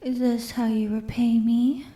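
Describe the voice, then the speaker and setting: A woman voicing an obsessive, sadistic young yandere captor in a soft, breathy ASMR roleplay, half-whispering with sweet menace. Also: fairly high pitch, little pitch movement, dry recording. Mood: wistful, menacing. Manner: slow, breathy half-whispers broken by giggles. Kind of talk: ASMR roleplay, intimate dramatic monologue.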